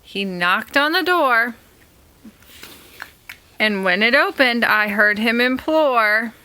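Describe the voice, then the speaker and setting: A woman reading a rhyming storybook aloud as narrator, in a deliberate, expressive way. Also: a little high, clear, resonant tone.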